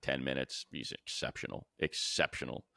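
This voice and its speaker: A man's voice: a bass voice, steady tone, moderate pace, gravelly, sharp tone, dry recording, studio quality. An American man giving casual, emphatic football analysis as a show host, repeating phrases for stress.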